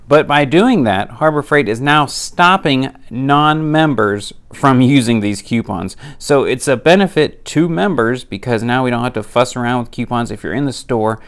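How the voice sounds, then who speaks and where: Nasal, gravelly man with a cold. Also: mid-range voice, fairly bright tone. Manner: chatty, practical, plain-spoken. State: has a cold. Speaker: tool deals vlogger. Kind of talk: casual product rundown.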